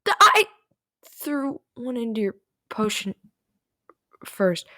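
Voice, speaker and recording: A woman's voice: fairly high pitch, sing-song, slow pace, pushed and bright, no echo, exaggerated voice. A woman voicing a mischievous male trickster witch who teaches potion-making in an audio roleplay. The witch snickers, teases and stumbles over hesitant fillers. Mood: defensive, grudging. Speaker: potion tutor.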